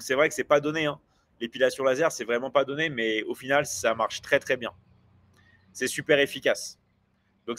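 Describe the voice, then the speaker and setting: A man's voice: medium pitch, fairly bright tone. A man in his forties hosting a casual livestream, chatting loosely with viewers and rambling with many hesitations and restarts. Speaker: livestream host.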